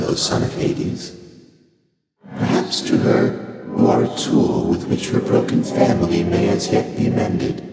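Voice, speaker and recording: An actor playing an ancient, aloof primordial deity in a video game, speaking calmly and cryptically in formal, measured, archaic phrasing, with faint amusement and layered otherworldly processing. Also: male voice, sits low. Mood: detached, amused. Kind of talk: video game character dialogue. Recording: cavernous long reverb, loud music behind.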